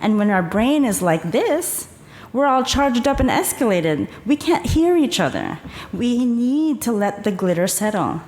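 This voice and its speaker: A woman giving a conference talk, calmly guiding the audience through a breathing exercise and explaining the ideas behind it. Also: a little high, a little slow. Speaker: conference presenter. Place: conference hall. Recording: echoey conference hall.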